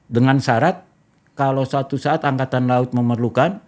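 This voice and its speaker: A middle-aged man speaks at a medium pitch. He talks in a casual, discursive way, laying out his explanation step by step as a talk show host would. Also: steady tone, normal speed, a little mellow.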